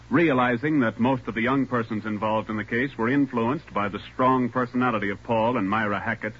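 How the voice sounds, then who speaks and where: A man's voice: medium pitch, slightly rough. Formal male announcer on muffled old radio. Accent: American. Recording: muffled 1940s radio broadcast.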